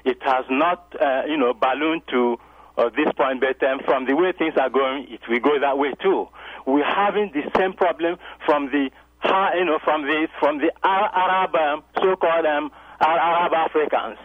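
A man calling into a radio show, talking haltingly with many fillers and growing insistent. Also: fairly high pitch, dry recording. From Nigeria. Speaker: caller. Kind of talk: radio call-in. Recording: tinny phone line.

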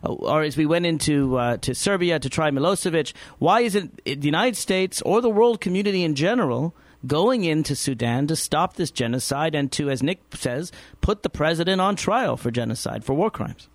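A male radio host with a fairly high-set voice, courteous but direct as he steers callers and a guest. The sound is dry and close, with no room around it. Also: expressive, moderate pace, no room sound. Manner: polite, direct questioning. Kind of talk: call-in talk show hosting.

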